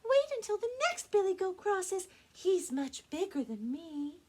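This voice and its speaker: A woman voicing a tiny, timid young billy goat in a read-aloud story, squeaking and pleading. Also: big pitch swings, unhurried, darkish tone, heavily acted.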